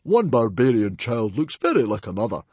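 Man playing a bellowing defiant Viking chief, lively voice, dry sound. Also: mid-range voice, moderate pace, breathy edge, no echo. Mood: blustering. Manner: roaring, defiant. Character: blustering big Viking chief.

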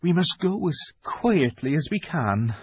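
Male reader playing a timid weeping faun: high, husky, drawn-out and anxious. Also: very animated, darkish tone, dry recording, highs cut off, exaggerated voice. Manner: fretful, pleading, slow and drawn out.

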